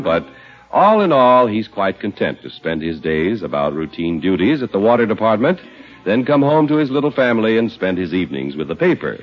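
An American man announcing from a script in the style of 1950s radio. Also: low-pitched. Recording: muffled old 1950s radio recording. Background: faint music.

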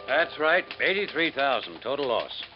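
An actor playing a brisk, matter-of-fact insurance man briefing an investigator in a 1950s radio drama. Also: medium pitch, very animated, music in the background. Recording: dull 1950s radio recording.

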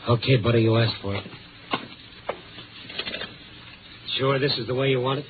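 An actor playing a wry, tough but decent city reporter who narrates a 1950s radio noir in a clipped, world-weary way. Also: male voice, medium pitch, fairly even intonation, rapid-fire, background noise, natural voice. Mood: tough, sardonic. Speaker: lead and narrator. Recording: phone-like vintage radio, music behind.

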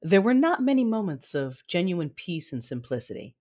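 A woman playing a proud, imperious mother in a sci-fi audio drama, narrating formally and deliberately. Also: fairly low pitch, sing-song, darkish tone. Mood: proud, reflective.

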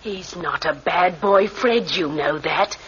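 A woman's voice: fairly low pitch, takes it slowly, a crowd in the background. An actress playing an anxious, protective middle-aged mother, fretful and placating in a radio drama. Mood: worried. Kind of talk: 1950s radio drama acting. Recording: muffled, noisy old radio recording.

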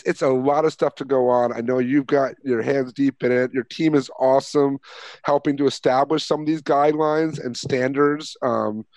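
A man's voice: medium pitch, darkish tone, no echo. A man hosting a podcast, chatting earnestly with frequent restarts and fillers. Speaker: podcast host. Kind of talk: casual interview chat.

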